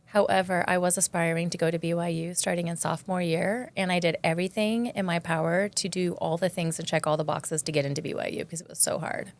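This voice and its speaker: A woman's voice: lowish voice, steady tone, fast talker, hardly any pauses. A woman co-hosting a podcast, asking questions and reflecting on her own life in casual conversation. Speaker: podcast co-host.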